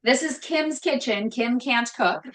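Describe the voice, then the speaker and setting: A woman hosting a casual live cooking show from her home kitchen, talking loudly and emphatically with a voice that carries. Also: a little high, unhurried, echoey room. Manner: loud voice that carries, chatty and emphatic. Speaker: host cooking on a live stream.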